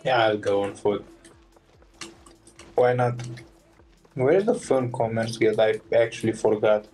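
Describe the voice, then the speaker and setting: A woman's voice: very deep voice, steady tone, a little slow, warm tone, poor audio. A woman streamer giving casual, off-the-cuff commentary while playing a video game. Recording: game music behind the voice.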